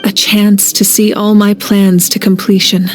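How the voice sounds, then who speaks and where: Woman playing game fire-goddess leader, calm and steady, earnest, not much pitch swing, music under it. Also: a little slow, close to an everyday voice. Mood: solemn resolve. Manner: earnest, composed, resolute. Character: resolute warrior archon woman, calm, self-sacrificing leader. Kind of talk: video game cutscene acting.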